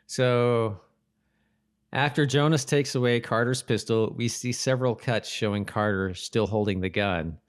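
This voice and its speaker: A man's voice: medium pitch, a little slow, smooth. A man co-hosting a podcast in casual chat, often repeating and restarting his phrases. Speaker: podcast co-host. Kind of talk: casual chat about a TV episode.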